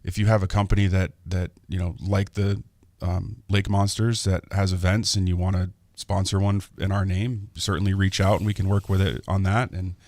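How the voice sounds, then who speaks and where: A man's voice: deep voice, level tone, normal speed, hardly any pauses, darkish tone, no echo. A man as a guest on a radio show, talking about his charity in a friendly way, chuckling often and restarting sentences. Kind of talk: casual radio interview. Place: radio studio.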